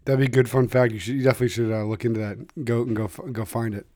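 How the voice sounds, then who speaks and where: Halting male podcast host. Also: medium pitch, level tone, darkish tone, dry recording, clean studio sound. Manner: halting, restarts questions. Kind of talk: casual interview questions.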